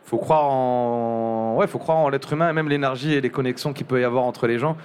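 A young man speaking casually as an interview guest, halting and restarting often, with many fillers. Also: mid-range voice, fairly even intonation, darkish tone, slight background noise. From Montpellier area. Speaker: journalist guest.

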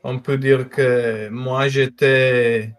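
A young Mexican man speaking French with a Mexican Spanish accent, a photographer answering interview questions with hesitant pauses and fillers. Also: mid-range voice, flat delivery. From northern Mexico. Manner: hesitant, many fillers, slight grammar slips. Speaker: guest photographer. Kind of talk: casual interview talk.

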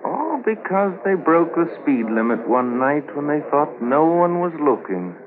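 A man's voice: a little high, very animated, measured pace. An actor playing an amused, curious man hearing about a case in a 1950s radio drama. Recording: tinny, noisy 1950s radio recording.

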